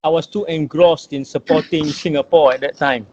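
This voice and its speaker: A man's voice: fairly high pitch, steady tone, moderate pace, dull audio, noisy background. A man in his forties from Malaysia, a guest in an interview, talking in a thoughtful way with many fillers and repeated phrases. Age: 40s. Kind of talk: casual interview.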